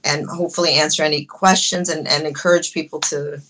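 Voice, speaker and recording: Woman guest, bright and forceful tone, passionate, stumbles and restarts. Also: sits low, steady tone. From Ecuador. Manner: passionate, halting with many restarts. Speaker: guest musician. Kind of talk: casual interview chat.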